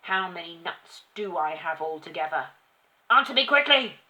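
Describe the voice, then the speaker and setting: A woman's voice: very high voice, slow, fairly bright tone, pitched above the performer's own voice, full character voice, rough recording. A woman voicing a furious, bullying tyrant of a headmistress from a children's story, bellowing insults with great emphasis. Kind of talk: children's storybook character reading.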